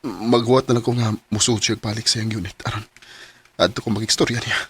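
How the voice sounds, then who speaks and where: A man with a Cebuano accent voicing a heartbroken young man in a radio drama, talking softly and earnestly. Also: fairly low pitch, fairly even intonation, fairly quick, husky. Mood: calm. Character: heartbroken young man betrayed by his girlfriend. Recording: radio drama with music underneath.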